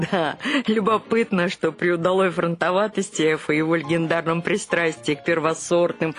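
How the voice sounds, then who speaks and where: A woman's voice: on the low side, close to an everyday voice. Actress as frank, theatrical older woman. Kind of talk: radio play acting.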